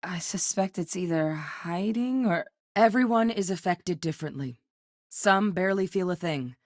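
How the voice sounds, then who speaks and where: Actress for a low-voiced, no-nonsense scientist-officer in a game, matter-of-fact, dry studio read. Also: female voice, expressive, no echo, close to an everyday voice. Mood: steady. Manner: measured, clipped, matter-of-fact. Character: composed woman scientist with military past. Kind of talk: video game voice lines.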